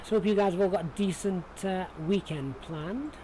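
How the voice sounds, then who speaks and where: A man's voice: high-pitched, moderate pace, warm tone, rough recording. A man from Sheffield with a Yorkshire accent, giving casual, chatty live commentary on a flight simulator stream as he thinks aloud and talks to viewers. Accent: Yorkshire English. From Sheffield, England. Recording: clear background noise.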